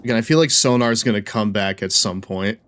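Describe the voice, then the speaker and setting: A man's voice: on the low side, fairly quick, a bit bright, a little hiss or hum. A man giving casual live commentary as he streams a game, with an occasional stammer.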